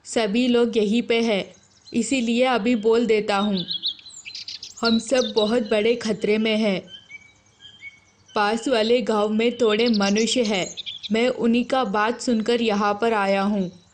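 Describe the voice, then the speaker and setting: A woman's voice: a little high, fairly even intonation, slow pace, a little hiss or hum. A woman playing a male wolf messenger in a children's story, earnest and explanatory. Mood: grave. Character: male wolf messenger bringing grave warning to king. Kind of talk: animated children's story character.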